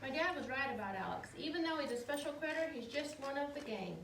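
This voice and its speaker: A woman reading children's picture books aloud in a simple, expressive storytelling way, with casual asides. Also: sits high, fast talker. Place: outdoors in the wind, with animals. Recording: echoey, distant, noisy windy outdoor recording.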